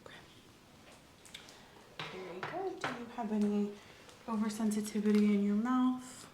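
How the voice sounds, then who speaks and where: A soft-spoken woman in an ASMR medical roleplay, gently and calmly playing an examiner, often dropping into a whisper. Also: medium pitch. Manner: gentle, reassuring, unhurried clinical narration. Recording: roomy echo, faint ambient noise.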